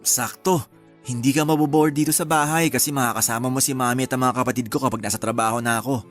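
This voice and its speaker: An actor playing a playful, affectionate young husband in a radio drama. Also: male voice, mid-range voice, steady tone, fast talker, a bit bright, soft background music, natural voice. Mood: teasing. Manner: teasing and affectionate.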